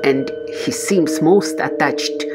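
A woman reading a scripted tour narration in a smooth, even voice-over, with loud music behind her. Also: sits low, takes it slowly.

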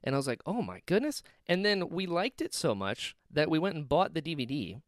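A man's voice: on the high side, big pitch swings, fairly quick, no echo, clean studio sound. A man from North Carolina who grew up in Florida, hosting a podcast in a playful, laughing chat. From North Carolina, raised in Florida. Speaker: podcast host. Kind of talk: casual interview chat. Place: at home in Florida.

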